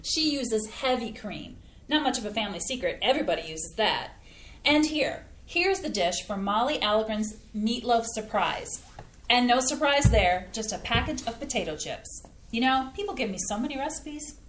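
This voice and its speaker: A woman's voice: on the high side, lively intonation, moderate pace, a little hiss or hum, lightly acted. An actress playing a chatty, homey Midwestern mother who is proud of her cooking, in a staged reading of a play. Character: folksy chatty Midwestern mom, proud home cook. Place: community theater stage. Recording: echoey theater room.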